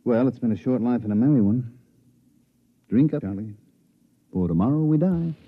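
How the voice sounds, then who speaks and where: A man's voice: medium pitch, lively intonation, normal speed, slight background noise, lightly acted. An actor playing a world-weary male swindler who narrates a 1950s radio play, with a drawling, sighing delivery. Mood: gloomy. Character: weary, wisecracking male swindler, middle-aged. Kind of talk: 1950s radio drama acting. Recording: dull vintage radio broadcast.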